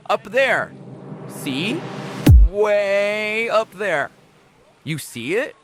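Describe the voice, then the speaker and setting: A woman's voice: medium pitch, sing-song, extremely slow, soft background music. A woman voicing an anxious, eager young cartoon bean in an exaggerated, hesitant children's read-aloud style. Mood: excited, determined.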